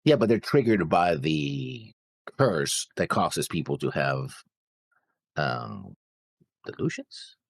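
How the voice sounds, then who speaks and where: A man's voice: sits low, lively intonation, takes it slowly, gravelly, pauses often, breathy edge, no room sound, studio quality. A man co-hosting a reaction show, casually discussing and questioning, pushing back on points. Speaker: co-host of an anime reaction show.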